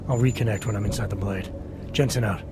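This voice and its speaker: An actor playing a terse, weary covert agent in a video game, deadpan and sardonic. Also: male voice, lowish voice, fast talker, natural voice. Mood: terse, dry. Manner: deadpan, clipped.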